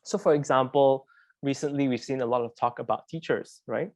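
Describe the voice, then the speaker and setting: A man with a Malaysian accent speaks as a presenter at an online talk. His voice sits at a middle pitch and he talks informally, engaging listeners directly. The sound is dry, with very little room in it. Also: fairly quick, no room sound. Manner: conversational, prompts listeners with repeated questions. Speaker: investigative journalist presenting as a webinar speaker. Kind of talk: informal interactive webinar presentation.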